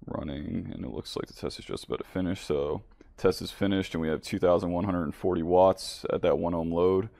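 A man's voice: sits low, fairly even intonation, brisk, gravelly, warm tone, dry recording. A man narrating a product demonstration casually, speaking informally and straight to viewers as the presenter. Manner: informal, addressing viewers directly.

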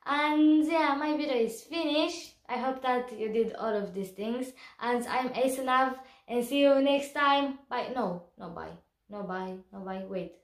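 A young woman from Romania with a Romanian accent, chatting to camera in a giggly, animated vlog. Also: sits high, measured pace, roomy sound. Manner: bubbly, giggly, gestures a lot. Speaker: vlogger. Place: small room indoors.

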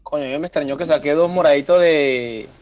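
A man's voice: fairly high pitch, a little fast, highs cut off, faint music behind. A man with a Venezuelan accent in casual gaming voice chat, grumbling in sweary slang.

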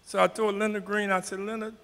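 A man in his nineties with a high, bright voice answers questions haltingly, often repeating his first words. He speaks into a handheld mic in a community hall, and his voice rings out with a long echo. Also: little pitch movement, vocal fry. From New Orleans, Louisiana. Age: 90s. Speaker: panel guest, Mardi Gras Indian elder.

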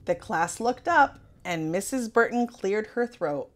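A woman reading a children's storybook aloud in an expressive narrating style. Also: mid-range voice, unhurried. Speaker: storybook reader.

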